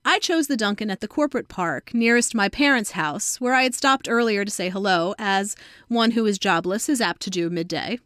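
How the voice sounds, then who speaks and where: A woman's voice: medium pitch, moderate pace, fairly bright tone, dry recording, studio quality. A woman of about forty reading her own scripted personal essay as a narrator. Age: around 40.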